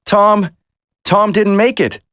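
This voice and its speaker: An actor playing an earnest, determined young special-forces soldier in a dramatic video game. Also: male voice, high-pitched, steady tone, slow, a bit bright, dry recording, clean studio sound. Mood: grave.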